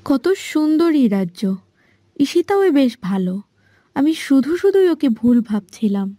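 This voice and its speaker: A woman's voice: high-pitched, sing-song, moderate pace, warm tone, no echo, studio quality. A woman playing an innocent, curious young girl in a fairy-tale cartoon, musing aloud. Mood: wondering. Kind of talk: dramatic cartoon dubbing.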